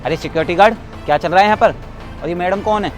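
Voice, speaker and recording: An actor playing a stern resident who scolds a careless driver in a short drama skit. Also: male voice, sits high, rapid-fire, faint music behind, lightly acted. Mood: questioning. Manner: scolding and lecturing.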